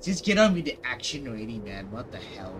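A man's voice: medium pitch, sing-song, a little slow, noisy background. A man giving casual, offhand reaction commentary as he hosts a video game playthrough. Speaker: gaming video host. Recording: game music loud behind voice.

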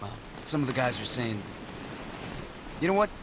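A cautious soldier on guard in a video game, acted by a man. He talks in an offhand, colloquial way at a medium pitch. Clear rainy noise is behind him. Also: very animated, normal speed, lightly acted. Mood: offhand, wary. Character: clueless, cautious male American soldier on guard.

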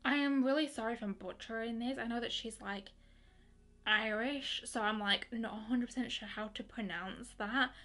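A young woman in her twenties chatting excitedly through a makeup review, with lots of fillers and restarts. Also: on the high side, normal speed. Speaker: beauty vlogger. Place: bedroom with colourful lighting.

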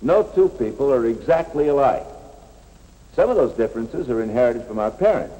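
A man's voice: medium pitch, lively intonation, normal speed, mellow, close to an everyday voice. A man playing a patient, plain-spoken middle-aged school coach in a 1950s educational film, explaining things earnestly. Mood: patient, explanatory. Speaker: coach and narrator. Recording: echoey, noisy 1950s film soundtrack.

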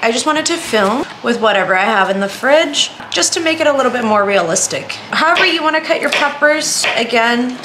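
A woman's voice: fairly high pitch, background noise. A woman giving a casual, chatty home cooking demonstration, informal and full of self-deprecating asides. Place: indoors, home kitchen.

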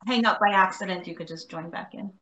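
A woman moderating an online class, giving relaxed, helpful instructions to the participants. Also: mid-range voice, fast. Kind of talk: casual instructions. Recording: roomy call audio, faint noise.

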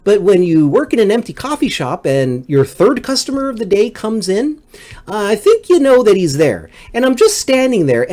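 Middle-aged man, livestream storyteller, highly varied pitch, comic exasperated rant, freely swearing. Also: a little mellow. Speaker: livestream host. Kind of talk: comedic storytelling monologue.

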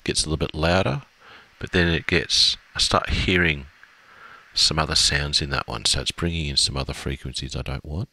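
A middle-aged man teaching music software step by step in a steady, explaining way with small fillers, from a small room at his desk. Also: rumbling low, normal speed, no room sound. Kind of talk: screen-recorded software tutorial.

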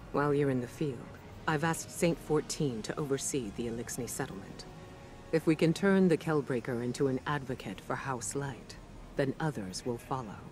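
An actress playing a wise, resolute middle-aged female leader in a game cutscene, measured, earnest and dignified. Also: a bass voice, darkish tone, a little hiss or hum. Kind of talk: scripted video game cutscene dialogue.

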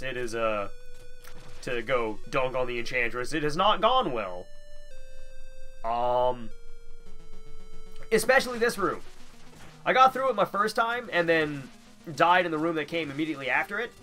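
A man's voice: fairly high pitch, pushed and bright. A young man with a stuffy nose giving casual, rambling, self-deprecating gameplay commentary. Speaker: gamer, let's player.

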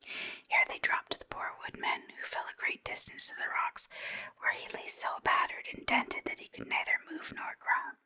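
A woman softly whispering a storybook aloud in a steady, unhurried narration for ASMR.

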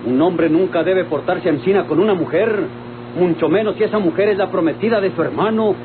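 Through a tinny, noisy old recording, a man acts an earnest young rancher with a rural Mexican accent. His high voice pleads in radio-serial style. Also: a little fast, close to an everyday voice. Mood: reproachful.

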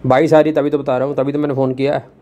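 A man's voice: mid-range voice, flat delivery, very fast, dark tone, a little hiss or hum. A young man from India making an unscripted complaint call as a customer, pressing his point in a blunt, challenging, insistent way. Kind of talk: phone complaint, unscripted argument. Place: room at home.

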